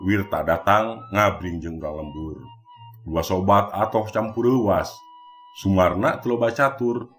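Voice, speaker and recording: A man with a Sundanese accent, narrating a scripted mystery folktale as a storyteller. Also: low voice. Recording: music bed behind the voice. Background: background music.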